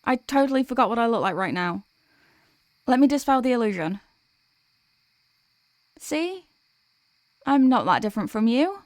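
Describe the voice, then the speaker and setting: A woman's voice: on the high side, big pitch swings, moderate pace, pauses often, darkish tone, no echo, studio quality. A woman playing a teasing sleep paralysis demon who can be menacing or apologetic and tender, in an intimate ASMR audio roleplay. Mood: flustered, apologetic, then gentle, soothing. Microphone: close microphone, dry.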